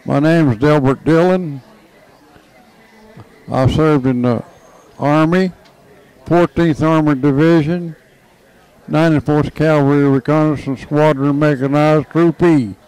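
A man in his nineties and a veteran, reciting his service in a measured way. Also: a little high, fairly even intonation, darkish tone, clear, resonant tone, low-quality recording.

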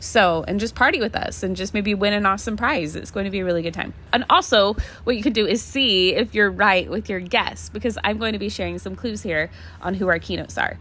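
A woman's voice: medium pitch, brisk, fairly bright tone. A woman hosting a podcast solo, talking casually in an enthusiastic, chatty manner. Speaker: podcast host.

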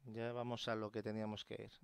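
A man's voice: fairly low pitch, monotone, quick pace, creaky, smooth. A man with an accent from Spain doing casual gameplay commentary. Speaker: gaming YouTuber. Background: game ambience.

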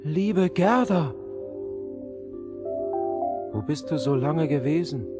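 Guy doing a spellbound young boy in a fairy tale, pitched way up, dazed and tender, music behind. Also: lively intonation, takes it slowly. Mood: amazed, waking. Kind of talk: fairy-tale audio drama acting.

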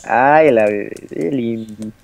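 A man's voice: mid-range voice, a little slow, vocal fry, darkish tone, a little hiss or hum. A young man chatting playfully while playing a video game, teasing and laughing a lot. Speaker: gamer. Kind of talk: casual chat while gaming.

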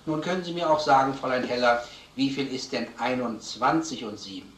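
An actor playing a stubborn, protective former police detective with a dry, measured, firm manner. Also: male voice, medium pitch, fairly bright tone, lightly acted. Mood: playful. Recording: echoey film sound, faint background noise.

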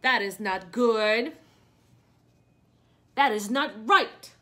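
A woman voicing a big, loyal, indignant elephant from a picture book, with emphatic, drawn-out declarations. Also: a little high, a bit bright.

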